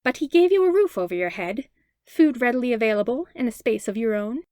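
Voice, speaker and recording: A woman's voice: a little high, expressive, brisk, a little mellow, clean tone, dry recording, clean studio sound, close to an everyday voice. Woman reading as a smug, needling male lawyer. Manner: smug, pointed courtroom questioning. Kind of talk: dramatized fan fiction audiobook reading.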